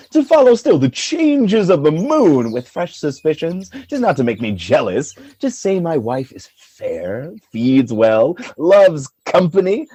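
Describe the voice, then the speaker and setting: An actor playing a noble general sliding into anguished jealousy, declaiming Shakespearean verse for a radio play in a husky voice. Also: male voice, a little high, warm tone, no room sound, exaggerated voice. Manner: theatrical, declamatory verse delivery.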